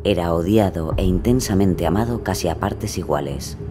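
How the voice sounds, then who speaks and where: Really deep guy narrating a scripted documentary, music clearly behind him. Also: normal speed.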